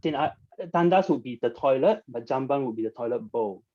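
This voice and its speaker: A man tutoring casually on a video call, explaining in a relaxed, offhand way. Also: mid-range voice, fairly quick. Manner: relaxed, offhand, thinks aloud. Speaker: dialect tutor. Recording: dull video call audio.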